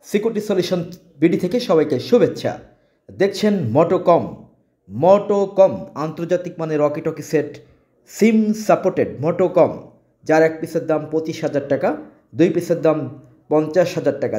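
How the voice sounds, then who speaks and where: A man with a Bangladeshi accent presenting products in an informal sales pitch, often repeating and restating his phrases. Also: mellow. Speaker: shop presenter selling products.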